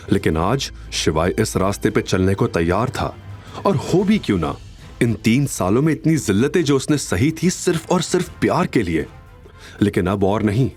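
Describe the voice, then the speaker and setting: A man's voice: fairly low pitch, a little mellow. A man narrating a scripted audio drama in a dramatic storytelling style. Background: background music.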